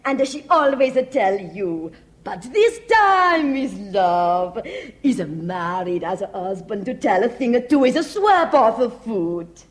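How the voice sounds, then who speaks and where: A woman's voice: mid-range voice, very animated, takes it slowly. An actress playing a chatty, inquisitive Italian woman in a radio drama, speaking effusive broken English with an Italian accent. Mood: curious, excited.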